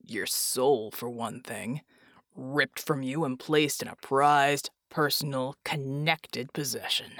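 A woman voicing a world-weary male mercenary who talks bluntly in a low, gravelly drawl. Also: slow, a bit bright, no room sound, studio quality, full character voice. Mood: gruff.

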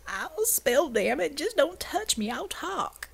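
A woman's voice: high-pitched, sing-song, slow pace, gravelly, pitched above the performer's own voice, heavily acted. A woman voicing a sullen male biker rebel held prisoner, coarse and agitated in manner. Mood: panicked, breaking down.

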